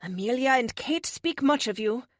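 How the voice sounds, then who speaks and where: A woman's voice: on the high side, lively intonation, normal speed, no room sound, clean studio sound, pitched above the performer's own voice, natural voice. A woman voicing a polite, earnest foreign policewoman hero who speaks formally and courteously, in an audiobook. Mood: warm, respectful.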